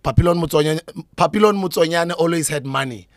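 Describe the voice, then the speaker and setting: South African male host, higher dry voice. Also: fast, no room sound. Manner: warm, chatty, gives shout-outs. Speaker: podcast host. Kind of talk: casual interview conversation. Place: podcast studio. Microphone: boom mic on desk.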